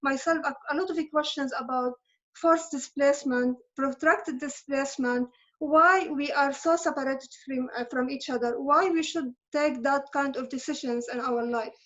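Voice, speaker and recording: A woman's voice: sits high, fairly even intonation, moderate pace, a bit bright, dull audio. A woman in her thirties whose first language is Arabic, telling her own story earnestly and steadily as a guest in a conversation, often correcting herself mid-phrase. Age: 30s. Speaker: interviewee, refugee graduate student. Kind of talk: online conversation at a public event.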